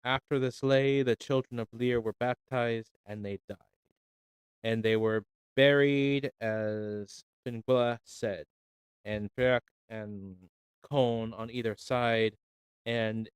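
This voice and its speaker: A man cold reading folk tales aloud on a stream, unhurried and measured, his voice feeling a little rough after an hour. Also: mid-range voice, steady tone, no echo. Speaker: reader.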